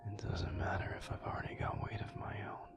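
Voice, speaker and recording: A man playing a tender, weary, protective alpha werewolf in an intimate audio roleplay, speaking gently and haltingly in a breathy, low, often whispered voice. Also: muffled recording, music in the background, natural voice. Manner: slow, gentle, halting, reassuring.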